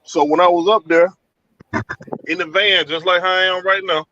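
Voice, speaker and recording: A man from Georgia telling of a frightening night encounter over a call from his van, in animated, colloquial speech. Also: high voice, moderate pace, muffled recording. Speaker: guest telling an encounter story. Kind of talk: casual storytelling over a call.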